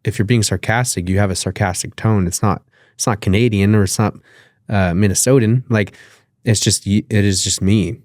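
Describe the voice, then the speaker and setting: A man's voice: on the low side, steady tone, moderate pace, darkish tone, dry recording, studio quality. A man with a nasal voice, talking loosely as a podcast guest, with lots of fillers and casual swearing. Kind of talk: casual interview conversation.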